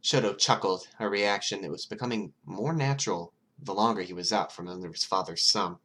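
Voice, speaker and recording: A male narrator with a low voice reads a story aloud. It is scripted narration for an amateur audio fiction reading. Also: fairly quick, a bit bright, husky. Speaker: podfic narrator.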